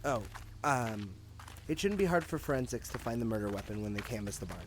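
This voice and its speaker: A man's voice: medium pitch, expressive, fairly quick, noisy background, lightly acted. A man acting a confident, cynical police detective with a sardonic, matter-of-fact manner in an audio drama. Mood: businesslike.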